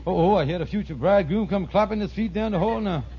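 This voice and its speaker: An actor playing a scheming middle-aged hustler in an old radio sitcom, with wheedling sales patter and grandiose misused words. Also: male voice, sits high, quick pace. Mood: conniving. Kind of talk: 1940s-50s radio sitcom acting. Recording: noisy, muffled vintage radio recording.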